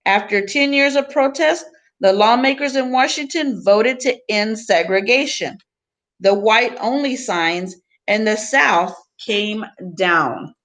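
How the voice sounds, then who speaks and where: A woman teacher reading a picture book aloud to students, phrasing deliberately in short pieces and adding teaching asides. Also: fairly high pitch, fairly bright tone.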